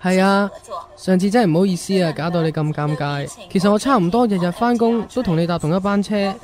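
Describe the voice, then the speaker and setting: A man's voice: high-pitched, a little fast, a little mellow, lightly acted. Guy playing nice young working guy in a radio play, everyday boyfriend type. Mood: friendly. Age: young adult. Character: considerate young working man, mildly exasperated boyfriend.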